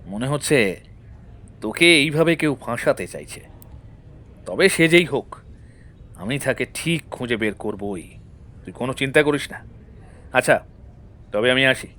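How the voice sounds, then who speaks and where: An actor playing a shrewd, suspicious lawyer-detective in an audio drama, questioning in a measured, deliberate way. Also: male voice, mid-range voice, lively intonation, pushed and bright. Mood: guarded, reassuring.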